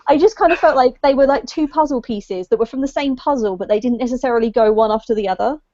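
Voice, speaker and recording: A woman's voice: high-pitched, quick pace, mellow, dry recording, lo-fi sound. A woman talking casually in a book discussion, explaining her opinions tentatively and correcting herself as she goes.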